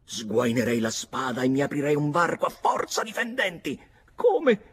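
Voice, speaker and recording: A man voicing a teasing, haughty young girl in a storybook reading, with a husky voice and a mocking, coquettish manner. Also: on the high side, big pitch swings, moderate pace, voice pushed up.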